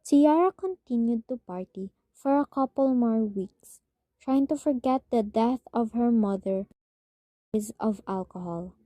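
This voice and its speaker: A woman's voice: on the high side, lively intonation, unhurried, dark tone, no room sound. A young woman reading scripted narration for a radio drama. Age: young adult.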